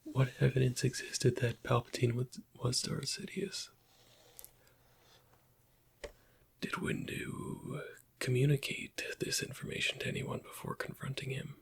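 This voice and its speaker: A man playing a weary, bitter Imperial interrogator in a whispered ASMR roleplay, questioning in a halting, pointed way with a breathy, husky voice. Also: medium pitch, animated. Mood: probing, impatient.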